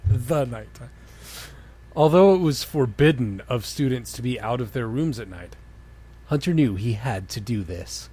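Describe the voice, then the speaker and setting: A man's voice: mid-range voice, expressive, a little slow, mellow, husky. A man co-hosting a comedy podcast, reading a story aloud and breaking off for jokey, casual comments. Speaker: podcast co-host reading fan fiction aloud. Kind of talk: comic dramatic reading with casual banter.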